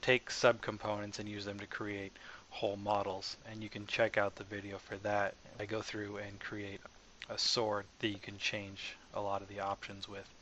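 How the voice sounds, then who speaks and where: A man narrating a casual software tutorial as the developer, informal and hesitant, as if thinking aloud. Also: fairly low pitch, completely flat delivery, moderate pace. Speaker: software developer presenting own asset package. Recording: dull lo-fi mic with faint background noise.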